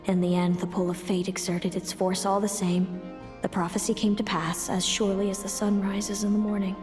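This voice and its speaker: A woman playing a solemn, fatalistic young seeress in a video game, speaking in a measured, brooding, formal way. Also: mid-range voice, level tone, over music, lightly acted. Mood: grave, troubled. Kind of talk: dramatic video game voice acting.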